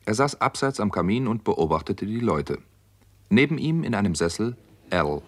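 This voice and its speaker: A low-pitched narrator tells a detective story in the first person. He is sober, observant and measured, in the matter-of-fact style of a 1960s radio crime play. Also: very animated, breathy edge.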